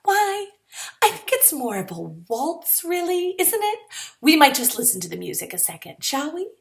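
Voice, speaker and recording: Woman putting on a sugary, high-pitched polite young lady. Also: very animated, normal speed, gravelly, full character voice. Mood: sweetly polite. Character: falsely sweet, gushing polite young woman at a dance. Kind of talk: short story dialogue.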